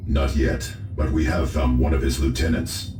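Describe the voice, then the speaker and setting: An actor playing a stern, dutiful soldier in a video game, giving formal, measured reports. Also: male voice, a bass voice, steady tone, darkish tone, roomy sound, a little hiss or hum. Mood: grave. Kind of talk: video game character acting.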